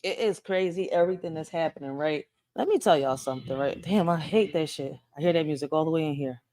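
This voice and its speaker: A Puerto Rican-born woman with a Philadelphia accent talks low and easy. She rambles through casual commentary and keeps checking in with "right?". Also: quick pace, warm tone. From Puerto Rico. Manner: rambling, says "right?" often. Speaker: host.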